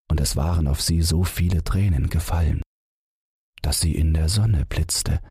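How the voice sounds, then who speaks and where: A man narrating a fairy tale as a gentle bedtime audiobook. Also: very deep voice, breathy edge, no echo, clean studio sound.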